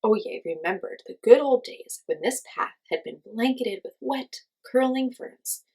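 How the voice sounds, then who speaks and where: A woman reading a children's story aloud as host, in an expressive storytelling style. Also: fairly high pitch, measured pace, vocal fry, husky, low-quality recording.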